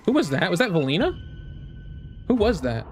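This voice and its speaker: Gaming reactor, animated swooping pitch, excitable, casual, exclamatory, sweary, music behind. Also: normal speed. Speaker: video reactor, gaming content creator. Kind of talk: casual live reaction commentary. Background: trailer music behind the voice.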